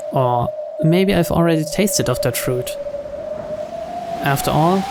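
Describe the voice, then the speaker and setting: A man reading a visual novel aloud as dramatic first-person narration. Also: on the high side. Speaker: video game player reading the story aloud. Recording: clear background noise.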